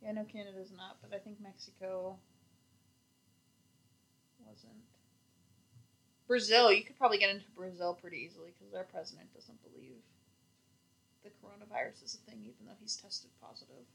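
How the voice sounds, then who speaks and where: A woman co-hosting a podcast whose voice has a bright, sharp edge. She talks in a relaxed, casual way and keeps throwing in dry, teasing one-liners. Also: mid-range voice, moderate pace, vocal fry, long pauses, a little hiss or hum, rough recording. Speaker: podcast co-host. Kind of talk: casual chat.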